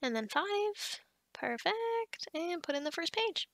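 A woman's voice: very high-pitched, very animated, slow, fairly bright tone, dry recording, exaggerated voice. A woman acting a friendly, scatterbrained office boss in a conversational ASMR roleplay, chatty, bubbly and encouraging. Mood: helpful, chatty. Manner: bubbly, chatty, with giggles and hesitations.

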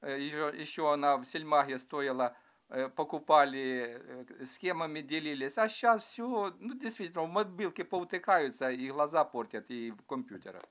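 A man chatting casually as an amateur radio operator in a halting, hesitant way, recorded indoors at his desk. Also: fairly high pitch, lively intonation, fairly bright tone. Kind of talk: casual ham radio chat, asking questions.

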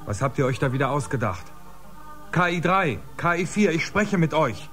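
A man's voice: on the high side, normal speed, soft background music. An actor playing an impatient, questioning male spaceship crewman in a science-fiction radio drama. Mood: irritated. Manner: questioning, animated.

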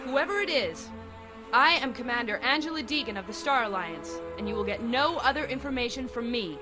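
A woman's voice: fairly high pitch, animated, fairly quick, music in the background, natural voice. A woman playing a proud, defiant space commander in an old-time radio sci-fi serial.

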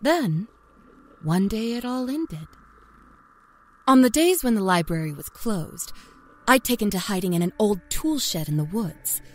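A woman's voice: mid-range voice, lively intonation, measured pace, long pauses. A woman playing a candid, wry narrator who recalls a grim childhood killing in a dramatic first-person horror story. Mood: grim, reflective.

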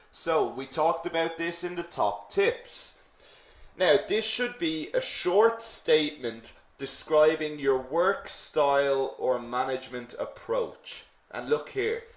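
An Irish English teacher talks his class through a lesson at an unhurried pace, mid-pitched and conversational. He keeps asking questions and tags his points with "okay?". His voice carries a noticeable room echo. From Ireland. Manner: chatty, asks the class questions, frequent "okay?" check-ins. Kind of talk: online teaching lesson on CV writing.

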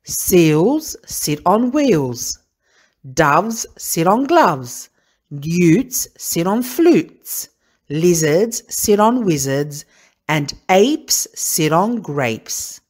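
A woman voicing a know-it-all storybook cat who reels off rhymes slowly and emphatically in a read-aloud picture book. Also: lowish voice, big pitch swings, a little mellow, full character voice. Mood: matter-of-fact. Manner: slow, emphatic rhyming.

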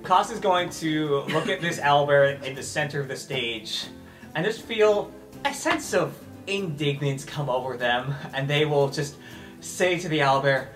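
A woman's voice: low-pitched, animated, measured pace, distant mic, faint music behind. A woman playing a tabletop role-playing game, describing her character's actions in casual talk.

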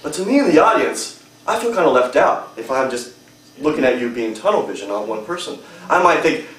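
A man's voice: medium pitch, sing-song, fairly quick, fairly bright tone, slight background noise. A young adult man giving a humorous prepared speech at a public speaking club, with a strong, emphatic delivery in a hotel meeting room. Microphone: far camera. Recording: echoey room from far off.

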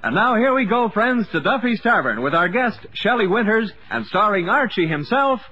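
A man's voice: high-pitched, lively intonation, normal speed, pushed and bright, slightly rough. A man announcing a radio show from a script in formal 1950s broadcast style. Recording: muffled 1950s radio recording.

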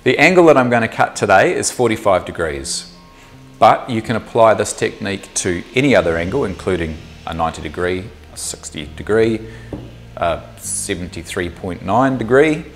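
Relaxed low-voiced Kiwi man teaching woodwork. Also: normal speed, faint music behind. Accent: New Zealand. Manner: relaxed, explanatory, thinks aloud with ums. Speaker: woodworking instructor. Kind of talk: workshop tutorial. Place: small indoor workshop.

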